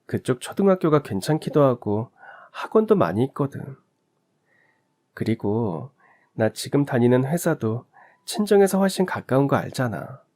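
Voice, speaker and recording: A man voicing a young wife and mother who talks softly and reasons gently. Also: mid-range voice, big pitch swings, normal speed, natural voice. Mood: persuasive.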